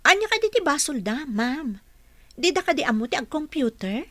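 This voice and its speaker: A woman's voice: high-pitched, big pitch swings, normal speed, fairly bright tone, no echo. A woman with an Ilocano accent playing a plucky, defensive young clerk who questions and talks back in a radio drama. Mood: defensive, puzzled.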